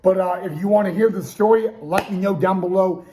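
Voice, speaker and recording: A man's voice: high-pitched, a little fast, dark tone, slight background noise, rough recording. A young man hosting a casual sports talk show, losing his voice and restarting often with stammered repeats. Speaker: podcast host.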